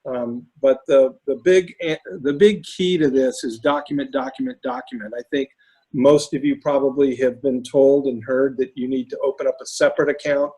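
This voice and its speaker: A hoarse man with a raspy voice talking through case studies on a video call, halting with many fillers and restarts. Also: medium pitch, steady tone, warm tone, rough recording. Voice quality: raspy, lost voice. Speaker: panelist presenting case studies. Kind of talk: webinar talk.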